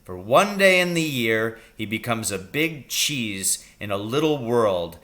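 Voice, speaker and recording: A man reads as an excitable storybook father hatching a poaching plot. The voice is bright and forceful at a middling pitch. He takes his time, with theatrical, conspiratorial intensity. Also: measured pace. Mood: earnest, scornful. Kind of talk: children's audiobook character reading.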